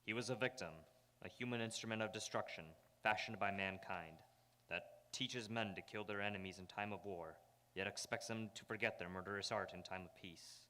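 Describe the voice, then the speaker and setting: A man's voice: on the low side, fairly quick, pushed and bright. A man playing a grave, ominous crime-fighting avenger, declaiming solemnly in a live radio drama. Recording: long hall reverb on voice.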